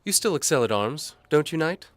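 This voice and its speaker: A man's voice: fairly high pitch, very animated, fairly quick, pitched above the performer's own voice. A man voicing a cool, teasing, scheming noblewoman in an audio drama, with music behind him. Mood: cool, coaxing. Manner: teasing, coolly firm. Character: alluring, scheming noble lady with a cool temper.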